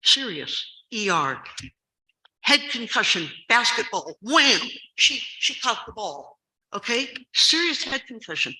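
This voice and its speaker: A woman in her seventies giving an urgent public comment at a school board meeting. She tells a story emphatically, repeats key words for stress, and has a loud, carrying voice. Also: a little high, big pitch swings, takes it slowly, poor audio. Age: 70s.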